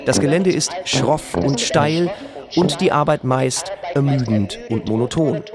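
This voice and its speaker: A man reading a scripted announcement in a radio drama, formal and factual. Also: medium pitch. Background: loud music.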